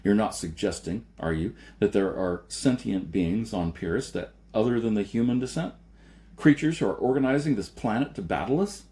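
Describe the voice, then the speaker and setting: Man playing a gruff, stubborn warrior leader. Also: fairly low pitch, normal speed, close to an everyday voice. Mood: defiant. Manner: blunt, forceful, dismissive.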